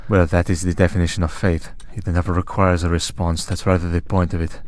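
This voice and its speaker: Casting: male actor for an ancient vampire priest, very deep voice, stoic, dry wit, measured audio-drama delivery. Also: little pitch movement, darkish tone, close to an everyday voice. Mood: calm. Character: centuries-old vampire priest, stoic, drily witty hunter. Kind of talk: scripted audio roleplay drama.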